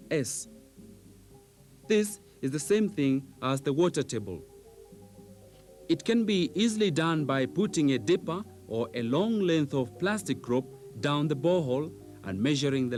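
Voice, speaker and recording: A man reading scripted instructional narration in a measured, precise, didactic manner, on an old VHS training film soundtrack. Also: fairly high pitch, a little mellow.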